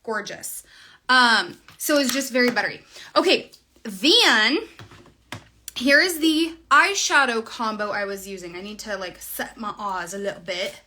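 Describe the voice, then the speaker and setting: A woman's voice: fairly high pitch, unhurried, sharp tone, slight background noise. A woman in her thirties chatting through a casual livestream makeup tutorial, recorded on a phone camera in a small room at home. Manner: chatty, bubbly, talks while applying makeup. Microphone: phone camera at arm's length.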